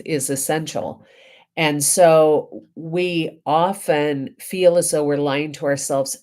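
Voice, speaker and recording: A woman reverend getting over a head cold, giving a reflective spiritual talk with deliberate, emphatic phrasing and pauses. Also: sits low, a little mellow.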